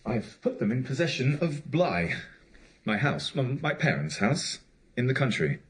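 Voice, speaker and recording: An actor playing an evasive, well-to-do bachelor in a period audio drama, halting and stammering as he speaks apologetically. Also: medium pitch, sing-song. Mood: persuasive, uneasy. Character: evasive, well-to-do bachelor gentleman, uncle of orphans.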